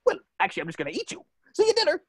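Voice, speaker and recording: An actor voicing a gruff, bossy male ghost pirate captain with a raspy, blustering delivery, in a cartoon script reading. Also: sits high, wildly swooping pitch, very fast, fairly bright tone, dry recording, raised voice, studio quality, full character voice. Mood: menacing. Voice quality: raspy, gravelly. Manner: theatrical, blustering, swooping.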